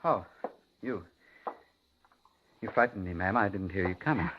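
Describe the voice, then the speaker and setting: An American actor playing a quiet, polite, suspicious cafe cook in a 1950s radio thriller, courteous and clipped with underlying menace. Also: male voice, low-pitched, unhurried, background noise. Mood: jumpy, suspicious. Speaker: radio drama supporting actor. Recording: muffled 1950s radio recording.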